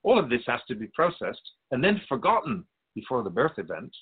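A man playing a wise, patient otherworldly spirit in a radio drama, explaining things in a measured, gently teasing way. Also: mid-range voice, very animated, husky, phone-quality sound. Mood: patient, explanatory. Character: Keeper of Souls' Purpose, wise otherworldly spirit, patient and curious.

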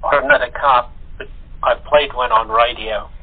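A man chatting as an interview guest in halting phrases, over a tinny, muffled phone line. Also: mid-range voice, fairly even intonation, breathy edge. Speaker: voice actor guest. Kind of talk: casual interview chat.

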